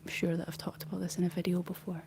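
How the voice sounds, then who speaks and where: A young Scottish woman speaking in a soft, breathy whisper, chatting casually and gently in an ASMR style. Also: lowish voice, monotone, fast, a little hiss or hum. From Scotland. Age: young adult. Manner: gentle, rambling chat while painting. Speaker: ASMR streamer reading and answering live chat. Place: living room.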